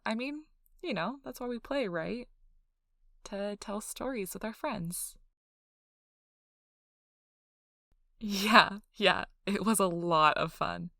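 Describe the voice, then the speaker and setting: A woman playing an excitable, nerdy young girlfriend who rambles casually about her tabletop game in an animated ASMR role-play. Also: medium pitch, slow, gravelly, no echo, clean studio sound, full character voice. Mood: fond, content. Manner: animated, breathless emphasis, frequent "like" asides.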